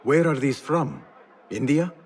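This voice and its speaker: A man's voice: medium pitch, lively intonation, a little slow, a little mellow, slight background noise, close to an everyday voice. A man playing an earnest, courteous young assassin in a video game, speaking politely. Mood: friendly, patient. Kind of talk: video game cinematic acting.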